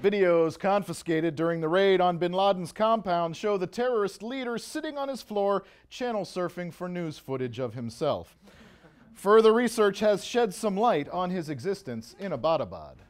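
A man playing a brisk news announcer reading headlines. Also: high voice, animated, faint music behind. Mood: announcing. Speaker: game host and newsreader.

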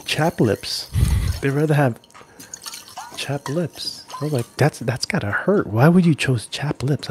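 A middle-aged man casually chatting with viewers on an ASMR live stream, drifting between soft murmured talk and whispers close to the mic. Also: medium pitch, breathy edge. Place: small indoor gaming room. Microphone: handheld-style recorder mic close to the mouth.

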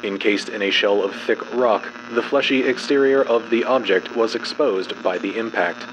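A male narrator gives a dramatic scripted reading in a fairly low voice. His delivery is formal, measured and clinical, like an official horror log. A clear electrical hum with radio-like static sits under the voice.

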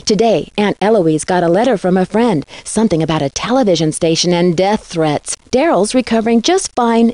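An actress playing a plucky, curious young female amateur detective in a video game, clearly enunciated and inquisitive. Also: mid-range voice, dark tone, no echo, close to an everyday voice. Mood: composed, upbeat. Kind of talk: video game dialogue, questioning.

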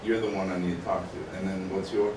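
Lowish-voiced man, echoey classroom recording. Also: flat delivery, fast talker. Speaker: guest speaker, content writer. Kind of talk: informal talk to a class, asking questions. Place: small classroom, indoors. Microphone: distant camera microphone. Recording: echoey room, distant mic, clear noise.

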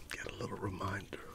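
A middle-aged man whispering softly in an ASMR roleplay, reminiscing with gentle chuckles. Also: deep voice, vocal fry. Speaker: ASMR creator. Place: dim room at home.

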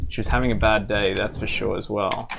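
A man's voice: fairly low pitch, level tone, fairly quick, gravelly. Rambling man bantering over a game, noisy recording. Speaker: gamer co-hosting a let's play. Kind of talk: casual gaming banter and storytelling. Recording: background noise with controller clicks.

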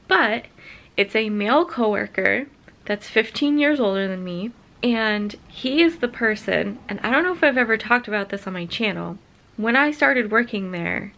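A woman's voice: fairly high pitch, creaky, sharp tone. A young woman talking frankly to a handheld camera at home, casual vlog chat. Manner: candid, frank storytelling. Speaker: vlogger. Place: living room at home. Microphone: handheld vlog camera at arm's length.

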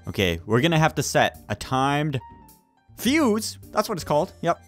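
A man's voice: fairly high pitch, big pitch swings, normal speed, fairly bright tone. A young man chatting casually and playfully with friends during gameplay, often exclaiming, on a close microphone. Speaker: gaming video player. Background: background music.

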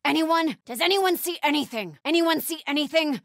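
An actress playing a grim, vigilant cultist hunter in a video game, giving terse callouts in a husky voice. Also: female voice, high voice, expressive, normal speed, no room sound, studio quality. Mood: urgent, demanding. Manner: clipped, terse. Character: grim, vigilant female cultist hunter stalking her enemies.

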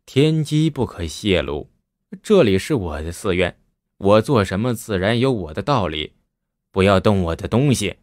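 A man voicing a calm, aloof young monk who speaks in an unhurried way. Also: on the low side, no echo, clean studio sound, pitched below the performer's own voice.

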